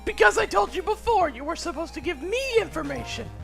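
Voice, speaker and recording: A high, creaky-edged, wildly swinging voice for a headstrong lady detective acted by a woman in a mystery game. She is emphatic, defensive and highly strung. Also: animated, a little fast, faint music behind, exaggerated voice. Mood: defensive, upset.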